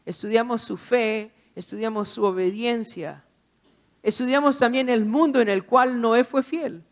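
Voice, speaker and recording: A man's voice: very high voice, measured pace. Guy preaching a sermon, from honduras, urging people on, repeats himself and throws out rhetorical questions. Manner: exhorting, with repetition and rhetorical questions.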